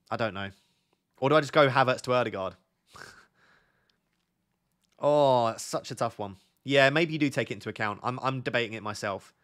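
Hoarse man streaming fantasy football. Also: medium pitch. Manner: thinks aloud, reads questions from chat, talks quieter and slower. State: losing his voice, sore throat. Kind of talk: live stream chat answering viewers. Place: indoor home studio at a desk.

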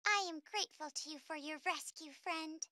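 A woman's voice: very high-pitched, a little fast, heavy background noise, pitched above the performer's own voice. Woman voicing a stately fairy lady. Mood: gracious. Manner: formal, stately speech. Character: wise dignified female fairy lord. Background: game music.

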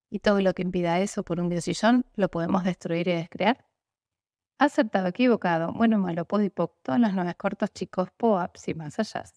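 A young woman reciting set clearing phrases in a steady, rhythmic voice-over. Her pitch sits mid-range for a woman, and the recording is close and dry. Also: dry recording. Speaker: facilitator. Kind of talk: repetitive clearing statements.

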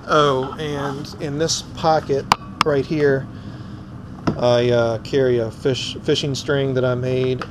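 Low-voiced man in noisy action-cam audio. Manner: informal, explaining item by item. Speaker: host of a fishing vlog. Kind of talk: casual hands-on gear walkthrough. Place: indoors in a cluttered garage. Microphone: head-mounted action camera.

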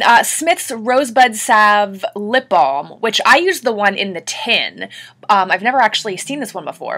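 Bubbly thirties beauty blogger with a cold-roughened throat, bright and chatty. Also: female voice, medium pitch. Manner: chatty, bubbly, gushing over products. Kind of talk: casual product haul video.

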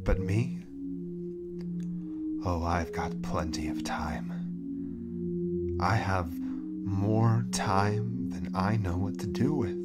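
A man voicing a sinister, taunting otherworldly being in a theatrical monologue, deliberate and menacing, often dropping toward a whisper. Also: low voice. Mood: ominous, gloating. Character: sinister, taunting living color Crimson, menacing harbinger of doom. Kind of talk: theatrical villain monologue over music. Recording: loud music and ringing tones over the voice.

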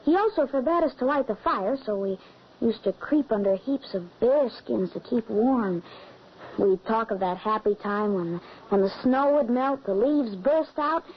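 An earnest young boy in a vintage radio drama. The voice is raised and slightly rough, wistful in delivery. The sound is dull and old. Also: fairly high pitch, expressive, normal speed. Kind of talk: 1940s radio acting. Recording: muffled old radio recording.

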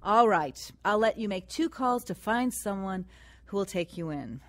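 An actress playing a brisk, unbending female social worker in a radio drama. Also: medium pitch, animated, close to an everyday voice. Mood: firm, stern.

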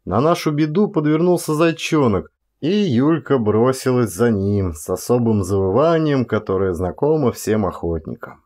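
A man, an actor, reads a first-person story as an expressive audiobook performance, with varied delivery and deliberate pauses. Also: medium pitch.

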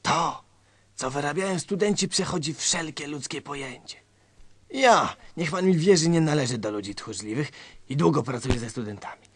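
An actor playing a nervous, paranoid colonel, confiding and melodramatic, in a satirical radio sketch. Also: male voice, on the high side, animated, normal speed, fairly bright tone, slightly rough. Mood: grave, alarmed. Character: nervous, conspiratorial male colonel, paranoid and grave.

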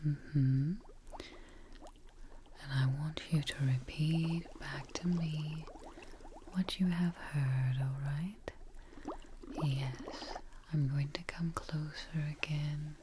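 A young woman whispering gently and soothingly in a breathy ASMR roleplay as a caring scientist, repeating short reassurances. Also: low voice, steady tone, drawn-out words, pauses often, background noise. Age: young adult. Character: gentle scientist tending their frightened creation. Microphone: close-up microphone.

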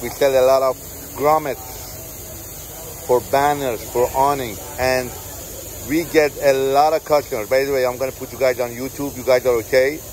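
A man who owns a tool company, chatting casually with an exhibitor and often pausing to search for words. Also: medium pitch, fairly even intonation, darkish tone, a little hiss or hum, low-quality recording. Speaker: tool company owner and host. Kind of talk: casual trade show interview. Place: busy trade show hall.

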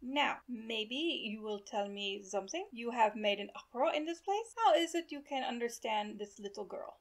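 A woman voicing a gruff, messy old male doctor with a German accent and deliberate, probing broken English, in a storybook reading. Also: a little high, expressive. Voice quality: wheezy, mumbling.

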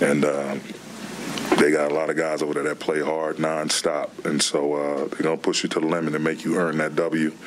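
A middle-aged man, a basketball head coach, answering questions at a postgame press conference in a plainspoken, relaxed way. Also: a bass voice, slight background noise. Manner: relaxed, plainspoken, frequent "man" and "you know". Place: press room before a team backdrop. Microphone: table microphone.

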